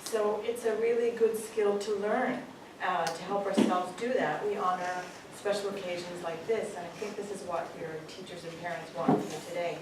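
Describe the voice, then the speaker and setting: A middle-aged woman with a Canadian accent addresses a hall full of schoolchildren, joking lightly and pausing with "um". Her fairly low voice comes from far off in a big, echoey room. Steady room noise sits under her words. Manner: warm, joking, with frequent ums. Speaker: parent giving a speech. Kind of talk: graduation speech. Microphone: distant camera.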